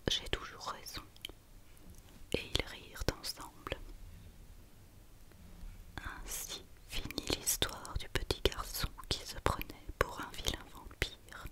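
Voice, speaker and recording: Slowly whispering woman reading a bedtime story. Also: bright, forceful tone. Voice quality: unvoiced whisper. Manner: slow, deliberate reading with pauses. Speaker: ASMR storyteller.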